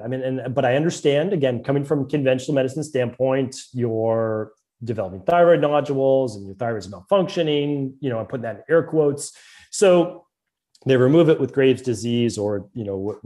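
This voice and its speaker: Man, Boston accent, mellow warm tone, earnest detailed explainer, podcast guest. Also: mid-range voice, fairly quick. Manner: earnest, detailed, fluent medical explaining with frequent restarts. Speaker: naturopathic doctor, podcast guest. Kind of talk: explanatory interview talk.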